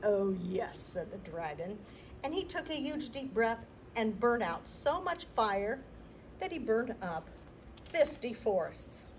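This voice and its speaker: A middle-aged woman hosting a library storytime, reading a picture book aloud to children in an expressive, deliberate way. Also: fairly high pitch, slight background noise, poor audio. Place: indoor library room.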